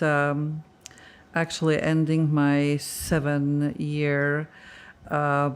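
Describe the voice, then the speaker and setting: Slow, halting woman. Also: low voice. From Poland. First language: Polish. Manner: reflective, halting, searching for words. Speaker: librarian. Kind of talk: documentary interview.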